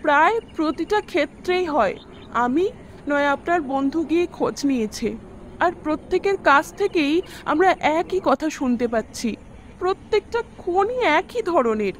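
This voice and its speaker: A woman's voice: high voice, expressive, unhurried, slight background noise. A woman playing an anxious mother in an audio drama, recounting eerie events in an earnest, dramatic way. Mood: fearful. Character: worried mother recounting eerie deaths around her son. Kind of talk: Bengali audio story acting.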